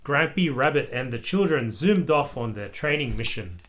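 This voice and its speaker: A parent reading a children's story aloud to a child, in an animated way and acting out the dialogue. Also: medium pitch, moderate pace, slight background noise.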